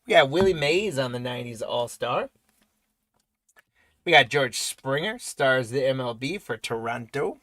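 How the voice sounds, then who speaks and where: A man hosting a live trading card break, rattling off player names and congratulating viewers, his voice going hoarse. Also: mid-range voice, expressive, a little slow, a bit bright, no echo. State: voice weakening, losing it.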